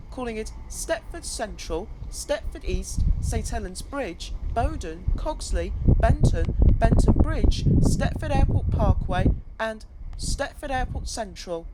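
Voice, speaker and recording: A woman reading scripted train platform announcements in a formal manner, in stitched-together phrases. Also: fairly high pitch. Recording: clear background noise, vehicle ambience.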